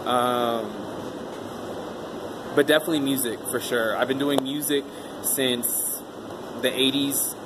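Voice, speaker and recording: A man's voice: mid-range voice, noisy background. A man talking about himself in a casual, rambling way, with many um fillers. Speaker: vlogger interviewing himself. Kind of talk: casual self-interview monologue.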